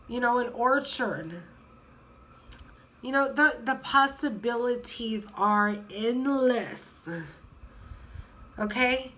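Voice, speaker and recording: A woman in her thirties with a cold, hoarse and sniffly, chatting casually in a rambling way with many fillers and restarts. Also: fairly high pitch, fairly bright tone. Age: 30s. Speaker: vlogger. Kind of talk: casual chat.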